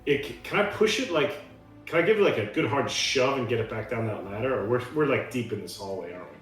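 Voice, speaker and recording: A man's voice: medium pitch, animated, quick pace. A man chats casually as a player in a tabletop roleplaying game, talking through his moves. His voice sits in a roomy, echoing space, with faint music behind it. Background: faint background music.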